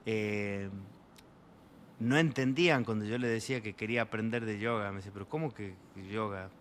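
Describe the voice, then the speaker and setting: A young man from Argentina with an Argentine Spanish accent, an interview guest telling travel stories in a relaxed, rambling way full of fillers. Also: fairly low pitch, normal speed, a little hiss or hum. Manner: rambling, many fillers, voices quoted people. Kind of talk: casual storytelling in an interview.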